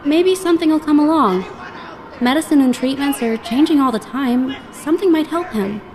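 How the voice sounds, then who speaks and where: An actress plays a new psychiatric ward worker in a horror radio play. She speaks in a fairly high voice, measured and sympathetic, as the calm and hopeful colleague. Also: some chatter behind, close to an everyday voice. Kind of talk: horror audio drama acting.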